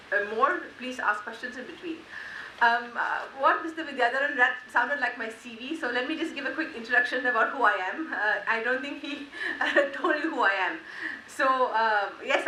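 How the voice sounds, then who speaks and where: Bright-voiced woman speaking in an echoey hall. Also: fairly high pitch. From Malaysia. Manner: conversational, many fillers. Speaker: conference speaker. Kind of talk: conference talk. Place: conference hall. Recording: echoey hall, faint hiss.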